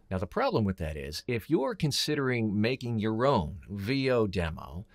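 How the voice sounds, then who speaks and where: Middle aged guy giving blunt advice to camera, pitch jumps around a lot for emphasis, medium register. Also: a bit bright. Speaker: host, voiceover coach and voice actor.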